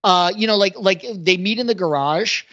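A man's voice: high voice, normal speed, a bit bright. Guy just chatting on a film podcast, loose and conversational, bit muffled sounding. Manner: conversational, peppered with "like". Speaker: podcast co-host. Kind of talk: casual film discussion. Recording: slightly muffled, lo-fi audio.

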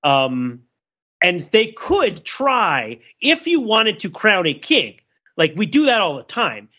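A man with a sharp, bright voice discusses ideas as a podcast panelist. He phrases things in a wordy, intellectual way and keeps checking in with listeners. The track sounds slightly dull and lo-fi. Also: sits high, wildly swooping pitch, slow pace, husky. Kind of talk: casual analytical discussion.

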